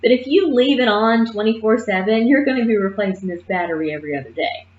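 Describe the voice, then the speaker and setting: A woman teaching a nursing assistant class in a lively, emphatic way, often checking in with her listeners. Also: a little high, fast talker, slight background noise. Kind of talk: classroom lecture. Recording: muffled roomy classroom recording.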